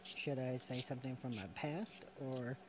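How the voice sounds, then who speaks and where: Listener, quiet, curious questions, faint background noise. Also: mid-range voice, flat delivery, normal speed, poor audio.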